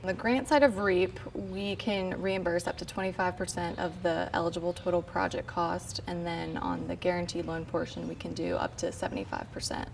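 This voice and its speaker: A young woman working on a funding program, interviewed in a barn, explaining the details in a steady, matter-of-fact way. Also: lowish voice, moderate pace, creaky, hardly any pauses, background noise. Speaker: program official. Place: indoors in a barn or shed.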